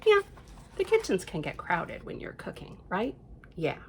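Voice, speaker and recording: Children's storytime reader: American woman, middle-aged, reassuring and chatty, with lively swooping intonation. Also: mid-range voice, a little slow, slight background noise. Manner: gentle, reassuring, addressing young children with rhetorical questions and affirmations.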